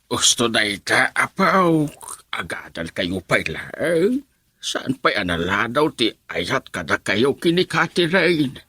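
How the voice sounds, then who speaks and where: A doting old grandpa for radio drama. He is raspy and unhurried, with pitch that swoops about in a jovial way. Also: sing-song, pushed and bright, no echo, heavily acted. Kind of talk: Ilocano radio drama acting.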